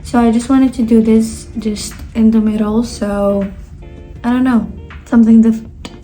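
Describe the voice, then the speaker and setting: A woman's voice: on the high side, fairly even intonation, normal speed, dark tone, faint music behind. A nineteen-year-old woman from Spain chatting casually in a sit-down question-and-answer vlog, rambling with lots of fillers and self-corrections. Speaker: vlogger. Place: indoors at her sister's home.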